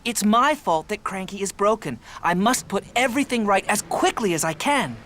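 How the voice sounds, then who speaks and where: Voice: medium pitch, animated, moderate pace, a bit bright, a little hiss or hum, lightly acted. An actor voicing an eager, cheeky young male tank engine in a children's cartoon, speaking earnestly and emphatically. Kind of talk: children's cartoon character acting.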